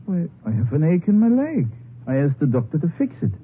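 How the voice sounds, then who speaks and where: Grieving german immigrant, thick accent, halting broken english, pitch goes up and down, muffled noisy radio. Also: male voice, big pitch swings. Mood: wary, defensive. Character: big, stolid, grieving German immigrant widower. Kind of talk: 1950s radio acting.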